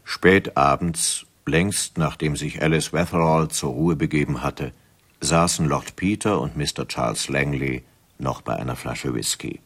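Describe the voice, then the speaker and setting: The sombre narrator of a mystery radio drama. His voice is exceptionally deep, and he delivers scripted storytelling. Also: measured pace, breathy edge, exaggerated voice.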